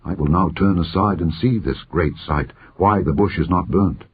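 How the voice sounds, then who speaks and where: A man's voice: low-pitched, normal speed, natural voice. A man reading the part of a humble, awed prophet in an earnest, formal, questioning way for a scripture audiobook. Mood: wondering. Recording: muffled phone-like narrow-band audio.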